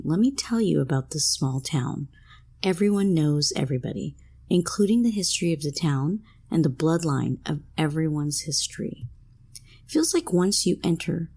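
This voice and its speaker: A woman's voice: deep voice, a little mellow, husky. A woman narrating a scripted first-person scary story, read out as a storyteller. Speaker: narrator of listener-submitted scary stories.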